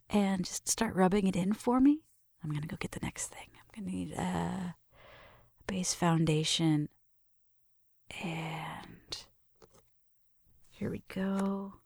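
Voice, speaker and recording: A woman speaks in a soft, intimate ASMR roleplay style, drifting between whisper and low murmur. Her voice is creaky and husky at the edges. It sounds very close and dry, with gentle step-by-step narration. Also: no room sound. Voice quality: soft, breathy, often whispering. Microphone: close mic.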